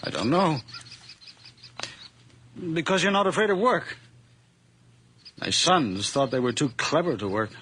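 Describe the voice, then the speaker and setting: A kindly, frail old farmer. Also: male voice, a little high, sing-song, measured pace, long pauses, slightly rough, full character voice. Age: older. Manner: gentle, hesitant, fond of his hired man. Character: kindly aging male farmer, employer. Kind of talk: 1980s radio drama acting. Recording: vintage radio broadcast with faint noise.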